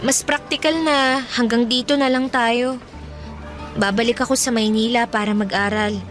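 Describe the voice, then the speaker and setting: Woman playing a teenage girl, gentle, thoughtful, high voice, soft-spoken, radio drama. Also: fairly even intonation, normal speed, faint music behind, natural voice. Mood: tender. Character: gentle sensible teenage girl, soon off to study.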